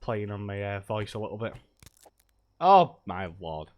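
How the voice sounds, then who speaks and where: Male game streamer, a bit low, croaky with a cold, chatty and hesitant. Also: sing-song, a little slow. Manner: rambling, loose, many hesitations. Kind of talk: casual live-stream chat while gaming.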